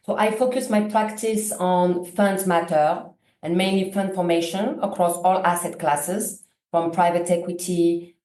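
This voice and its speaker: A woman with a French accent speaks in a lowish voice, answering questions with careful, hesitant phrasing and plenty of pauses. The room around her rings with noticeable echo. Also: darkish tone. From France. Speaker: guest, funds lawyer. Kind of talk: interview answers.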